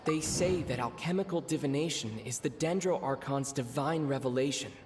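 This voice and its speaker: A man voicing a pensive young traveler in an anime video game, musing in a deliberate, hesitant way. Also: mid-range voice, lightly acted. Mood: pondering. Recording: long reverb, clearly noisy game audio.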